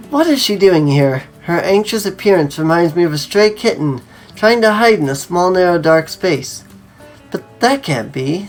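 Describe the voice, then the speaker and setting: Low-voiced woman, story read-aloud, chatty asides, faint music underneath. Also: darkish tone. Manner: conversational asides. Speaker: host reading a visual novel aloud. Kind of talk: reading a story aloud with casual commentary.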